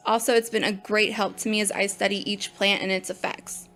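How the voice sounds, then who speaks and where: A woman's voice: medium pitch, level tone, brisk, a bit bright, a little hiss or hum, close to an everyday voice. A woman voicing an opinionated botany teacher who lectures bluntly and scoffs at textbooks. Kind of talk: audio drama acting.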